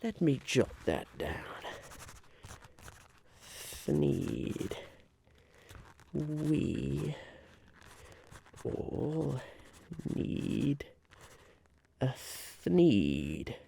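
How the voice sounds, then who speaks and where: A man playing a scheming, eccentric businessman in an ASMR roleplay, musing haltingly and repeating his phrases. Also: mid-range voice, big pitch swings, creaky, warm tone, slight background noise, heavily acted. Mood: pleased, scheming.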